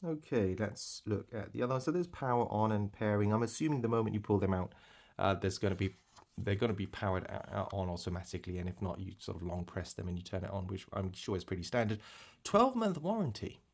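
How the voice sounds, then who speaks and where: Low-voiced man casually reviewing a product. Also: lively intonation, fast, gravelly. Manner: rambling, thinking aloud, repeats himself. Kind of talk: casual unboxing commentary.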